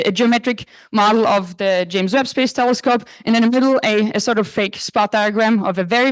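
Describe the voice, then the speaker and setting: A young woman from Croatia, a postdoc, giving a conference lecture in a relaxed, casual manner with frequent fillers. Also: mid-range voice, no echo, low-quality recording. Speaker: astronomy lecturer. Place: lecture hall.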